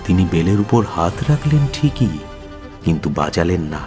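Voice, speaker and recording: An actor playing a detective's loyal companion, telling the story in an expressive, suspenseful radio drama style. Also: male voice, low voice, normal speed, over music. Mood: dramatic. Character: admiring male companion narrating a detective's adventure. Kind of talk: dramatic first-person storytelling in radio play.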